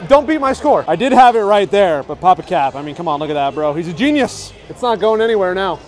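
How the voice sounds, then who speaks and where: Young guy vlogging at a stadium golf bay, super high pitched, hyped and loud, action cam, crowd and music in the background. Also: very animated, quick pace. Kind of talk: excited running commentary. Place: outdoor stadium golf bays at night, rain. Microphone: action camera held at arm's length. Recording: noisy outdoor crowd and music. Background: crowd chatter and music.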